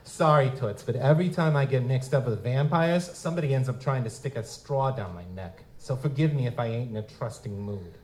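A man's voice: mid-range voice, brisk, hardly any pauses, darkish tone, natural voice. A man playing a weary, wisecracking private eye in a noir audio drama, tough, slangy and sardonic. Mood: wary, sardonic. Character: street-level green goblin-like male private detective, world-weary, wisecracking, wary. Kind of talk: hardboiled noir audio drama narration and dialogue. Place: live stage performance at a convention.

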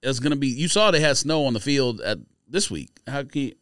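A man's voice: medium pitch, animated, brisk, fairly bright tone, dry recording, clean studio sound. A man hosting a podcast, talking sports picks casually in an opinionated, emphatic way. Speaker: podcast host. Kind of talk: casual sports banter, predicting games.

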